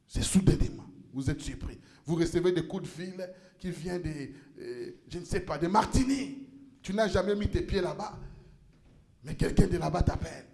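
A male pastor preaching a sermon in a church, impassioned, rising to shouts and stumbling over repeated words. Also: fairly high pitch, gravelly, roomy sound.

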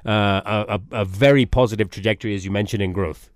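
A man hosting a morning radio interview, asking long questions with many pauses and restarts. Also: fairly low pitch, steady tone, no room sound, clean studio sound. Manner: hesitant, many fillers and restarts. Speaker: radio show host.

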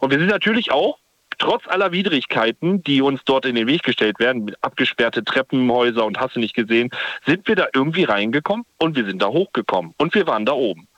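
A man's voice: medium pitch, lively intonation, fast talker, fairly bright tone, dry recording. A man from the Ruhr area telling a long rambling story as a caller to a radio show, phoning from his parked car. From Ruhr area, Germany. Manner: chatty, rambling, self-correcting. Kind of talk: phone-in storytelling. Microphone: phone.

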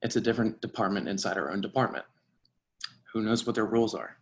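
Man playing low-voiced, by-the-book surveillance agent. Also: quick pace, natural voice. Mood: dry. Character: dry, by-the-book male surveillance agent and mentor. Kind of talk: screenplay table reading.

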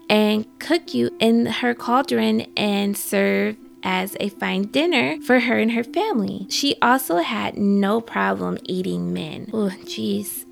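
Woman host, bit hoarse from a cold, super gravelly voice, chatty folklore telling. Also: medium pitch. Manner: chatty, reads facts then adds quick asides. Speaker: podcast host. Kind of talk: casual folklore storytelling.

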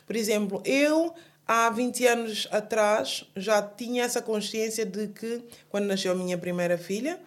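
A woman's voice: mid-range voice, normal speed. Woman in her 40s, earnest interview guest, lots of restarts and repeats. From Portugal. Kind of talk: interview conversation.

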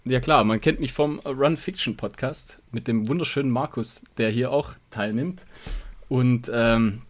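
A man's voice: medium pitch, a little slow. A man co-hosting a podcast in casual chat, often hesitating and rephrasing mid-sentence. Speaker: podcast co-host and hobby runner.

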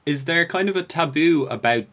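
A man's voice: on the high side, expressive, moderate pace, a bit bright. A man interviewing a guest in a casual, conversational style. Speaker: podcast interviewer.

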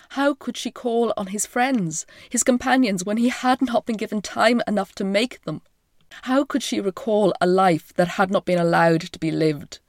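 Croaky woman telling an old myth. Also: fairly low pitch, no room sound, studio quality. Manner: slow, hushed, half-whispered storytelling. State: croaky from a chest infection. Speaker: podcast host and storyteller. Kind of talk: dramatic retelling of a myth.

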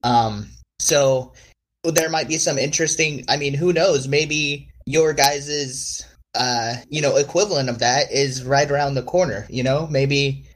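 A man's voice: medium pitch, fairly even intonation, a little slow. A man hosting a podcast, talking in an easygoing, upbeat way. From United States. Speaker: podcast host. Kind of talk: casual podcast chat.

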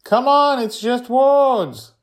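A stubborn, curious nine-year-old girl acted out by a male storyteller, pitched high and swooping up and down. She questions everything and keeps pressing, in animated story dialogue. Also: slow, full character voice. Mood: pressing, teasing. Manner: insistent, questioning.

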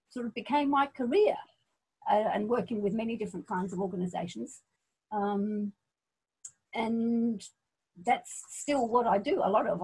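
A woman's voice: medium pitch, a little mellow, slightly rough, rough recording. A woman in her forties who was born in Scotland and grew up in Australia, speaking as an interview guest, thoughtful, with frequent ums and restarts. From Scotland, raised in Australia. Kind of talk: casual interview conversation.

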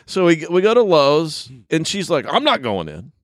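A man hosting a loose, jokey chat among friends, his pitch swinging widely as he reacts. The sound is dry and close, with no room echo. He laughs easily and teases the others. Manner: animated, joking, quick to laugh. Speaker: podcast host. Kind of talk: casual group chat.

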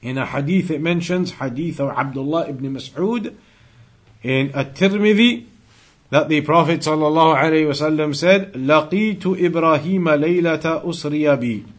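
A man teaching an Islamic studies class, explaining deliberately and repeating key phrases for emphasis as he moves through recited quotes. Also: fairly high pitch. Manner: didactic, deliberate, emphatic repetition. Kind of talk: religious lesson, explaining and translating recited quotes.

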